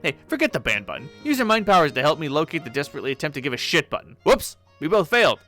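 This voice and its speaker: Guy doing a smug high-voiced troll girl, teasing everybody, bright and bouncy. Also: big pitch swings, racing, soft background music. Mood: mocking. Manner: mocking, gleeful, taunting. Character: sly cocky teen female alien troll.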